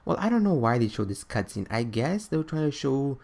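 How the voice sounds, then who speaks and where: A man's voice: mid-range voice, big pitch swings, fairly quick, gravelly, darkish tone. A young man giving casual, rambling gaming commentary as he counts down his favourites, often putting questions to himself. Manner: rambling, self-questioning, conversational. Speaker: video creator counting down favourites.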